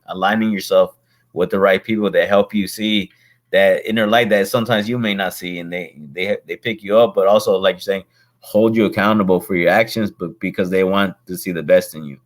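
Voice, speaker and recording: A man's voice: fairly low pitch, fairly even intonation, a little fast. A man hosting a casual interview, friendly and rambling, with a habit of saying "bro" and "my man". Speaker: host, real estate investor.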